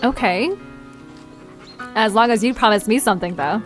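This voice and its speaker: A woman's voice: mid-range voice, moderate pace, music in the background, lightly acted. A woman playing a friendly, tender-hearted and slightly nervous young woman in an audio drama, speaking sincerely. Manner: hesitant, sincere. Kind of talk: audio drama character acting.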